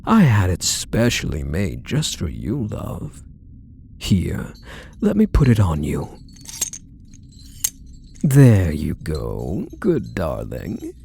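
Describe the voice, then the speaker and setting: A man's voice: low-pitched, huge pitch swings, slow pace, creaky, long pauses, warm tone, slight background noise, full character voice. A man playing a possessive, doting kidnapper husband in an intimate ASMR roleplay, cooing and coaxing with pet names. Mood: calm, controlling. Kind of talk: ASMR roleplay audio drama, intimate monologue to the listener.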